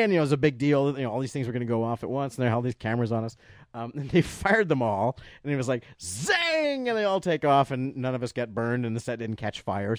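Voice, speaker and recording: A man telling a casual anecdote about his work as a puppeteer, animated and acting out the voices of people he quotes. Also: medium pitch, dry recording, clean studio sound. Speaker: guest recounting work as a puppeteer.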